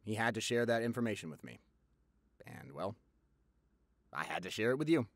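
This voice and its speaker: A man acts out a playful, affectionate young boyfriend spilling gossip in an audio roleplay. His delivery is theatrical and teasing, swinging widely in pitch, and the sound is dry with no room around it. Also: big pitch swings, moderate pace, no room sound. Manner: theatrical, exaggerated, teasing, chatty.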